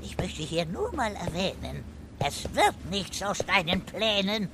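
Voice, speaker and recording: A man's voice: high voice, very animated, measured pace, creaky, noisy background, full character voice. An actor voicing a cheeky, pompous male parrot who picks his words precisely in a children's radio play. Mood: defiant, pompous.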